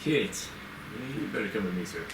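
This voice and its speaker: A man's voice: fairly low pitch, animated, moderate pace, lightly acted. A man playing a guarded, flustered groundsman in his fifties in an amateur screenplay table read. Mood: uneasy, firm. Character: nervous, evasive 55-year-old male manor groundsman. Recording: echoey, distant mic, clear noise.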